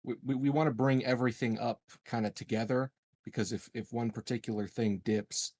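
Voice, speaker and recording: A man's voice: mid-range voice, steady tone, a little fast, a little mellow. A man playing a tabletop roleplaying game, casually arguing his case as a player. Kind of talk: casual game strategy discussion.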